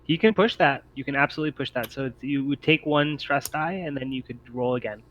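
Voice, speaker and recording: A man acts as game master for a tabletop roleplaying session. His voice sits at a middle pitch and he talks casually to the players. The audio is a little dull, like an online voice call. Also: fast, fairly bright tone.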